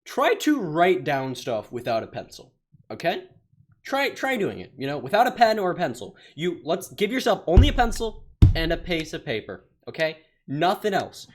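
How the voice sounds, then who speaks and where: A man's voice: on the high side, very animated, normal speed. A man telling funny stories while he plays a game. He rambles, stumbles over his words and laughs easily. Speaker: gaming channel creator. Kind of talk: casual storytelling over gameplay. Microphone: desktop mic.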